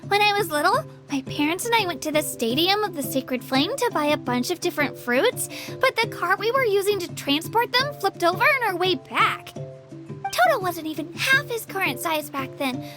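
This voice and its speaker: A woman's voice: very high-pitched, animated, moderate pace. A woman voicing a small, friendly young girl in a video game, chirpy, affectionate and earnest. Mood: fond reminiscing. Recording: game music behind the voice.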